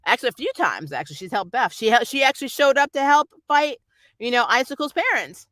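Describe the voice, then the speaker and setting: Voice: medium pitch, lively intonation, fast talker, bright, forceful tone, no echo. A vlogger chatting off the cuff in a rambling, opinionated way, like a fan giving a review. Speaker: YouTube reviewer reading and answering viewer comments.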